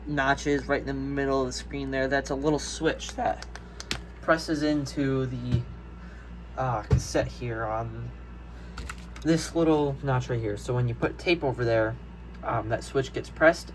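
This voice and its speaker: Young male hobbyist, casual rambling vlog delivery, mid-range pitch, some background noise. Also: rough recording. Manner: rambling, easily sidetracked. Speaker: hobbyist video maker. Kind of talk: casual unscripted show-and-tell. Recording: noticeable background noise, amateur home video.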